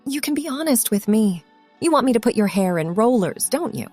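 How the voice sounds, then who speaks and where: A woman's voice: a little high, big pitch swings, brisk, a little mellow, faint music behind. A woman voicing an insistent mother in an audiobook story, coaxing and firm as she presses her child.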